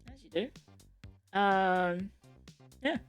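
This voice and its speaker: A young woman from Ireland with a mixed Irish, English and Australian-tinged accent, chatting casually on a live stream, reading aloud with wry asides and quick self-corrections. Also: medium pitch, clear, resonant tone, faint music behind.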